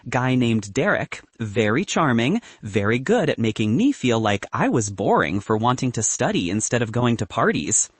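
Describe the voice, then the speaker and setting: A man's voice: mid-range voice, big pitch swings, moderate pace, few pauses, breathy edge, dry recording. A man voicing a candid, wry young woman physicist. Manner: dry, frank, advice-giving.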